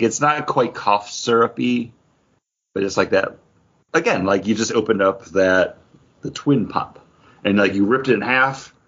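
A man's voice: lowish voice, a bit bright, slightly rough, highs cut off. A man co-hosting a podcast, chatting casually about comic books in a rambling, conversational way. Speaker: podcast co-host. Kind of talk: casual comic book review chat.

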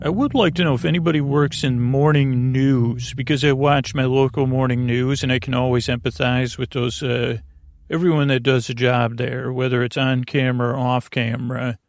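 Male podcast host, very gravelly creak, meandering bedtime monologue, halting and digressive, close dry sound. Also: mid-range voice, fairly even intonation, dry recording. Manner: meandering, halting, digressive, stumbling over words.

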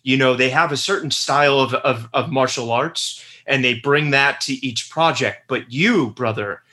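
A man hosts a loose, casual interview with a bright, forward voice. He gushes at his guest and keeps restarting his sentences. He drops brother and dude into almost every line. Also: mid-range voice, moderate pace. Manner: effusive, repeats words, says brother and dude a lot. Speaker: podcast host.

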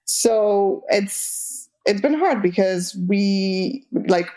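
A young woman from Argentina, with Spanish as her first language, speaks openly in a casual interview. She pads her sentences with "like" and her voice jumps higher when she gets exasperated. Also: extremely slow, rough recording. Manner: candid, many "like" fillers, lively rises when exasperated. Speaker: interview guest.

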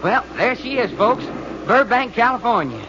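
An actor playing a brash, folksy Southern adventurer with an argumentative drawl in an old-time radio serial. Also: male voice, extremely high pitch, big pitch swings, normal speed. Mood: brash, excited. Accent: American Southern. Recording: muffled vintage radio recording, faint noise.

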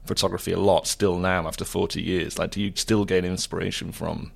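A man interviewing a guest, asking measured, thoughtful prepared questions. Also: low-pitched, fairly even intonation, vocal fry, slightly rough.

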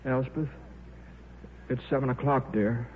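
Actor as a stammering scientist, muffled old radio. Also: male voice, medium pitch, steady tone, unhurried, music in the background, lightly acted. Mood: gentle, worried. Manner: hesitant, stammering, repeats himself. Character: shy, absent-minded, stubborn scientist and gentle father. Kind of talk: 1950s American radio drama acting.

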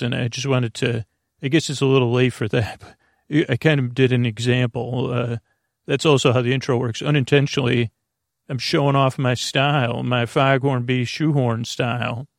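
A man's voice: mid-range voice, steady tone, moderate pace, vocal fry, dry recording, clean studio sound. A man hosting a bedtime podcast, rambling and meandering with lots of fillers and restarts, amused by his own tangents. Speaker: podcast host.

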